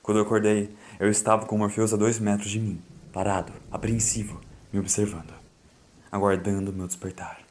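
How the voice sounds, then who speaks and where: A man's voice: lowish voice, little pitch movement, brisk, slight background noise. A man with a Brazilian accent narrating a horror audiobook in a solemn, theatrical way. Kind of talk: dramatic scripted horror narration. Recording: loud music under the voice. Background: loud music.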